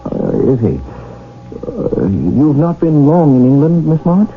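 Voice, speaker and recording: An actor playing an earnest, lovestruck English gentleman who tells his own tale in a period radio drama. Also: on the high side, sing-song, slow pace, heavily acted. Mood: eager, courteous. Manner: polite, romantic, storytelling. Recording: tinny band-limited old radio broadcast, music behind.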